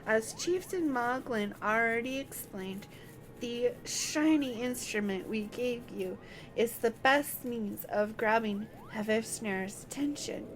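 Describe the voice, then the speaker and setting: Small fantasy game creature, played by a woman: chirpy, absent-minded helper, fairly high and unhurried, over noisy game sound. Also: animated. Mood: helpful, explaining. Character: small helpful moogle creature, scatterbrained guide.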